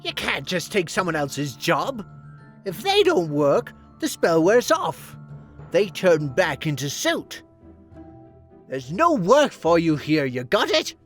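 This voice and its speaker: A man's voice: a little high, huge pitch swings, takes it slowly, fairly bright tone, soft background music, heavily acted. A man voicing a grumpy, bossy old spider-limbed boiler man who barks irritable orders, in a cartoon style. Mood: scolding. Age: older. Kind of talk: amateur cartoon voice-acting demo.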